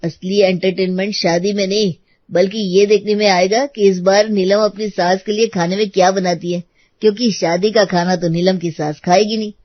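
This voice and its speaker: A woman's voice: mid-range voice, fairly even intonation, normal speed, no room sound, dull audio, natural voice. Woman putting on a gossipy male onlooker, dry sound. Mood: mocking. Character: gossiping man, disapproving onlooker. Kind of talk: animated moral story dialogue.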